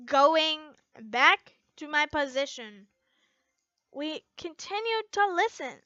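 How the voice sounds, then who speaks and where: Woman, amateur story reader, slow pace, high voice, expressive but halting, dull lo-fi home recording. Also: no echo. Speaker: amateur fan fiction reader. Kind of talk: expressive first-person story reading.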